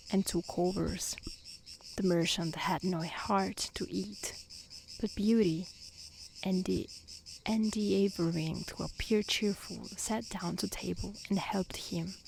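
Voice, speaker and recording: A woman reads a storybook very softly, at an unhurried pace, in a breathy ASMR voice that often drops to a whisper. Her delivery is gentle and careful, with faint cricket noise around her outdoors. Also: on the low side, low-quality recording. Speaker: storyteller reading a fairy tale aloud. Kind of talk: soft-spoken ASMR storybook reading. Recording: faint background noise with chirping insects. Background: outdoor nature ambience, crickets.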